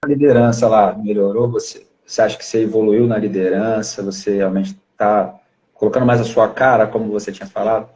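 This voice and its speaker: Man, from Brazil, podcast host, rapid chatty delivery, muffled lo-fi recording. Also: lowish voice, steady tone, warm tone. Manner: encouraging, chatty. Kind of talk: casual interview chat.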